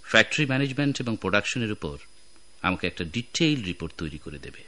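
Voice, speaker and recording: Casting: authoritative male executive, forceful bright tone, deep and animated, radio drama orders. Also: fast. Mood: brisk, commanding. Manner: commanding, mixes English phrases into Bengali. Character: brisk, authoritative male company boss giving orders.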